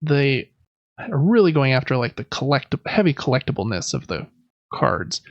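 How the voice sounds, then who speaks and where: A man's voice: medium pitch, big pitch swings, normal speed, dry recording, highs cut off. A man hosting a gaming podcast, running through news in a casual, conversational and informal way. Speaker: podcast host.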